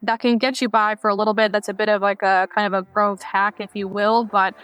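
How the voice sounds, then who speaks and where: American woman on a podcast, smooth clear voice, dry close sound, talks it through with lots of "like". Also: medium pitch, level tone, fairly quick, a bit bright, dry recording. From United States. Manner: thoughtful, explanatory, uses "like" and "if you will". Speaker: guest, head of product marketing. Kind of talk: podcast interview answers.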